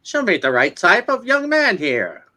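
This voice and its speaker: A man's voice: high-pitched, huge pitch swings, unhurried, pushed and bright, full character voice. A man acting a friendly, gossipy small-town neighbor in a dramatic comic reading, folksy and exclamatory. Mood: folksy, opinionated.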